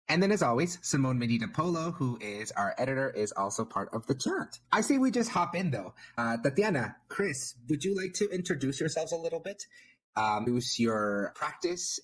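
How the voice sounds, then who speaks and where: A man's voice: medium pitch, slight background noise, low-quality recording. A man hosting a podcast, opening the episode and introducing the guests in a friendly, upbeat way. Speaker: podcast host. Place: Alberta.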